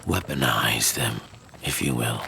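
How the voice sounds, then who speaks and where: A man playing a measured, knowing storyteller of dark fantasy lore, speaking with deliberate dramatic emphasis. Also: rumbling low, expressive, vocal fry, slight background noise, heavily acted. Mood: grave storytelling.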